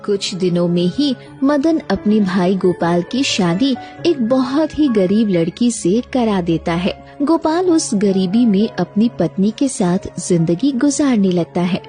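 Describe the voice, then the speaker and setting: Slow, mellow woman storyteller. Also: medium pitch, lively intonation, faint music behind. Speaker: narrator. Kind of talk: scripted children's story narration.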